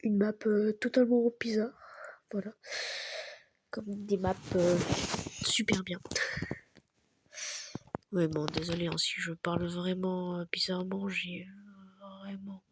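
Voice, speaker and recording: A young man with a very high, childlike voice, stuffy from a cold, giving soft, half-whispered, rambling commentary over his gameplay. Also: little pitch movement, slow pace, pauses often, background noise. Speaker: gaming YouTuber.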